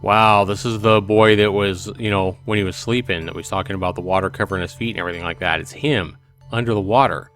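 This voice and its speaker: A man's voice: on the low side, steady tone, fast, fairly bright tone, soft background music. A man hosting a let's play, reading a visual novel aloud expressively with casual commentary.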